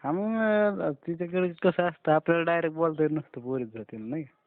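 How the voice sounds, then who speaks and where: A man's voice: on the high side, fairly quick, no echo. Young man on a tinny phone line. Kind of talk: casual phone chat with a friend. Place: phone call. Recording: narrow, tinny phone call recording.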